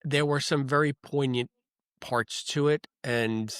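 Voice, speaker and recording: A man co-hosting a podcast, talking through his thoughts in a casual, rambling way with frequent pauses and ums. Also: mid-range voice, fairly even intonation, fairly bright tone, smooth, dry recording, clean studio sound. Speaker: podcast co-host. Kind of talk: casual review chat.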